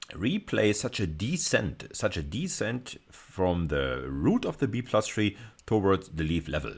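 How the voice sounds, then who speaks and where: A male lecturer with a rough voice, thinking aloud through a recorded lesson with many fillers and restarts. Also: sits low. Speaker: university lecturer. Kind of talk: recorded video lecture with screen demo.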